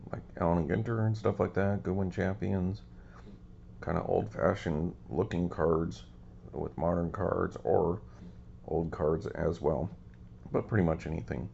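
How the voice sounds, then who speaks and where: A man's voice: sits low, fairly even intonation, unhurried, gravelly, dark tone, muffled recording. A man hosting a casual show-and-tell of his cards, easygoing and rambling with lots of ums, his voice a little scratchy today. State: slightly scratchy voice. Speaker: card collecting channel host.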